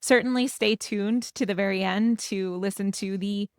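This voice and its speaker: A woman co-hosting a podcast, speaking in a measured, agreeable way. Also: medium pitch, steady tone, no room sound, studio quality. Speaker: podcast co-host. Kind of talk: casual podcast chat.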